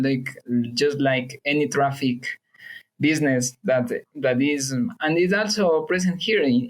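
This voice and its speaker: A man from Ecuador, a native Spanish speaker with a Spanish accent, speaking English haltingly as a podcast guest. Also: medium pitch, low-quality recording. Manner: halting, restarts often, apologetic.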